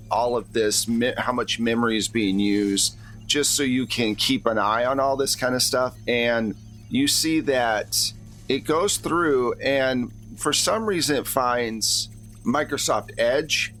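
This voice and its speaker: A man's voice: medium pitch, slow pace, a bit bright, faint music behind. A man narrating a tabletop roleplaying game as game master in a casual, conversational way.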